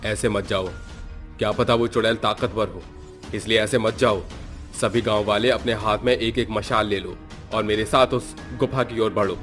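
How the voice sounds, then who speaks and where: A man playing a calm, commanding village headman in a cartoon story, measured and authoritative. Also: fairly low pitch, soft background music, natural voice.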